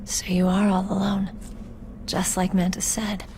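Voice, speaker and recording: An actress voices a young female soldier in a video game. She speaks at a medium pitch, earnest and a little unsure of herself, with tentative, searching phrasing over faint background ambience. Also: flat delivery, measured pace, a little mellow, a little hiss or hum, close to an everyday voice. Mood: tentative, curious. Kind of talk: video game cutscene acting.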